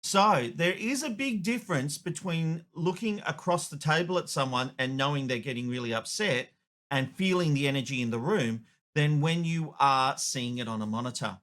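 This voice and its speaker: A man's voice: a little high, normal speed, a bit bright. A man hosting a show, delivering opinionated, emphatic editorial commentary. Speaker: show host, media commentator.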